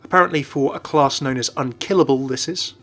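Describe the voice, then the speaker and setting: A man's voice: mid-range voice, brisk, a bit bright. A man hosting a video game channel, reading a scripted explanatory narration. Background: background music.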